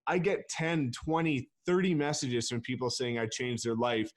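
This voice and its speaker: A man's voice: medium pitch, normal speed. A man, a real estate agent guest, talking bluntly and emphatically in a casual interview and swearing freely.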